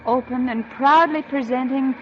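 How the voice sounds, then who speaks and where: Actress as a high-voiced, yearning 1940s radio housewife. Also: female voice, steady tone, normal speed, a little hiss or hum, natural voice. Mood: rapt. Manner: intense, melodramatic, repeating phrases for emphasis. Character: wistful, embittered housewife yearning for one luxury. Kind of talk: 1940s radio acting, dramatic monologue and dialogue. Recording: muffled 1940s radio recording with music.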